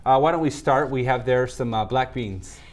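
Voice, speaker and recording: A man's voice: mid-range voice, moderate pace. TV host man, friendly, hesitant. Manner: friendly, hesitant, many uh fillers. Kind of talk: TV interview.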